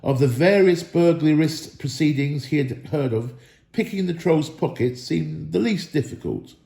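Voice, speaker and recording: A man narrates a storybook dramatically, with lively swings in pitch. His tone is soft-edged and mellow, and the room adds a clear echo. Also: a little slow. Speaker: school staff member reading aloud. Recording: echoey room.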